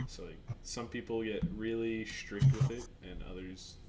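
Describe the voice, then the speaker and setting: A man's voice: on the low side, steady tone, unhurried. A man co-hosting a casual podcast, laid-back and laughing easily as he reads out viewer questions. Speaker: podcast co-host. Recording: distant mic with loud noise.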